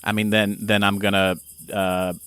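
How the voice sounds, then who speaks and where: Guy playing a tabletop rpg, casual thinking out loud, clean smooth voice, no room sound. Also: on the low side, flat delivery, a little slow, dry recording. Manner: informal, thinking aloud. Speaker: player in a tabletop role-playing game. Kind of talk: casual improvised game play.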